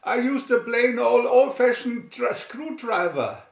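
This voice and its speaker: A man's voice: very high-pitched, animated, a little slow, creaky, roomy sound, lo-fi sound, voice pushed up, exaggerated voice. A man voicing a good-natured, plucky cartoon sailor with folksy, mangled grammar and emphatic delivery. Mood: chuffed.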